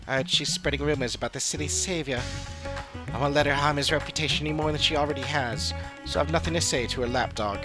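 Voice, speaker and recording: A man puts on a hostile young hero-worshipper from a fantasy game. The speech is curt and disdainful, with music clearly underneath. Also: fairly high pitch, fast, natural voice. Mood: scornful. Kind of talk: scripted video game dialogue.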